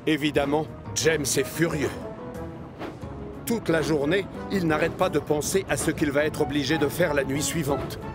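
A man's voice: fairly high pitch, fairly even intonation, moderate pace, over music. A man narrating a scripted children's story.

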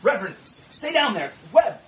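A man's voice: very high voice, big pitch swings, normal speed, reverberant, crowd noise. A man playing a stern, commanding small-town sheriff in an audio drama, barking with authority.

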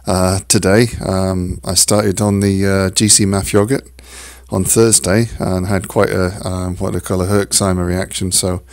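A man with a hoarse, croaky voice hosting a solo podcast, talking haltingly with many fillers and restarts. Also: sits low, flat delivery, no echo, studio quality. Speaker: podcast host. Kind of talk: casual solo podcast talk.

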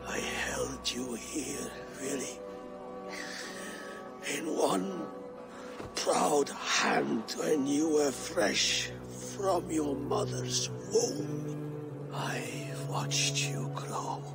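Loud music sits behind a frail old Viking jarl on his deathbed. His speech is extremely slow and broken, swinging widely in pitch between breathy murmurs and proud, tender swells, as in a video game drama. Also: exaggerated voice. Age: older.

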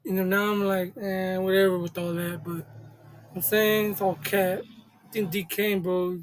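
A man's voice: high voice, little pitch movement, normal speed, slight background noise. A man in his thirties hosting a reaction video, talking in laid-back street slang in a small room. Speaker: reaction channel host. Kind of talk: casual reaction commentary. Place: small indoor room, seated at a desk.

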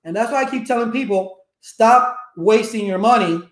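Cuban-born guy in his 50s, spanish first language, high-ish voice, chatty webcam vlog in a roomy home office. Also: normal speed. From Cuba. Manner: animated, gestures a lot, rhetorical questions. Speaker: network engineering educator. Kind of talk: casual livestream talk to viewers. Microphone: webcam at desk.

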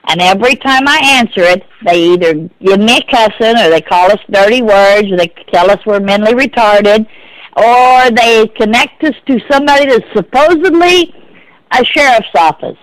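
A woman's voice: on the high side, moderate pace, dry recording. A middle-aged woman, speaking insistently and repeating herself in exasperation on a phone call. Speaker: prank call victim. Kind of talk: phone conversation.

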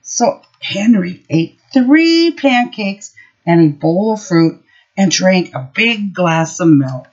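A middle-aged woman teacher explaining simple words and reading a story aloud to young children, instructive and expressive. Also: lowish voice, slow. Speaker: preschool teacher. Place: small room at home. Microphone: built-in webcam or laptop mic. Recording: dull, lo-fi webcam audio.

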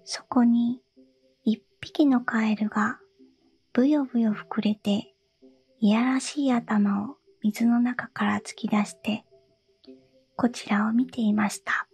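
A woman reading a fairy tale aloud in a soft, breathy whisper. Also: fairly high pitch, fairly even intonation, slow.

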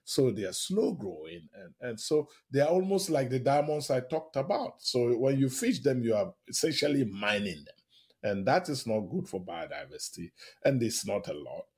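A man with a husky voice talks as an expert guest on a radio interview. His pitch swings widely as he explains his points with emphasis and open enthusiasm. He often punctuates them with small rhetorical questions. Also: moderate pace. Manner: animated, emphatic, with short rhetorical tag questions.

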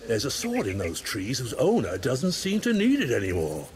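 An actor playing a hearty, welcoming old ghostly pirate lord in a video game, with theatrical storytelling delivery. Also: male voice, mid-range voice, huge pitch swings, normal speed, a little mellow, slight background noise. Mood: warm, encouraging. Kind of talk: scripted video game character acting.

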